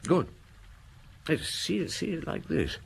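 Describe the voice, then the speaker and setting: An actor playing a shrewd middle-aged police detective in an audio drama, musing methodically with dry humour. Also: male voice, on the high side, sing-song, measured pace, creaky, a little hiss or hum, heavily acted. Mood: thoughtful. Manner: musing, methodical, dryly humorous.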